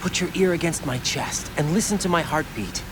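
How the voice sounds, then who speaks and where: Steady, earnest man acting a game rescue operative. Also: on the high side, brisk, close to an everyday voice. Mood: reassuring. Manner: reassuring, coaxing, earnest. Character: calm young male rescue operative, patient and reassuring. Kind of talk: cinematic video game voice acting. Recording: game cutscene with clear water ambience noise.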